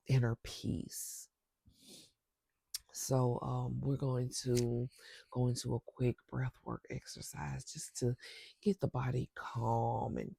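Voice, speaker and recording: A woman with a stuffy nose guiding a spiritual intention ceremony with breathwork, speaking slowly and softly and often dropping to a whisper. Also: extremely low pitch, vocal fry, breathy edge, dry recording. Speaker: spiritual circle facilitator.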